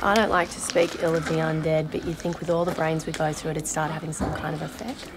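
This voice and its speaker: A woman's voice: low-pitched, little pitch movement, brisk, heavy background noise, lightly acted. A woman playing a jaded, opinionated vegetarian zombie in a comedy film, talking in a sardonic, sweary, conversational rant. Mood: disillusioned, earnest. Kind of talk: naturalistic short-film comedy acting, mock interview.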